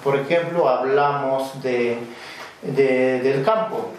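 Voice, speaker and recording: A man answers as a community council member. He speaks very slowly and deliberately, in plain words with frequent fillers. He is picked up from a distance in a very echoey room. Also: medium pitch. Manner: deliberate, many fillers like "este", plain rural phrasing. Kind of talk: slow spoken testimony in an interview. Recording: very echoey room, distant mic, faint noise.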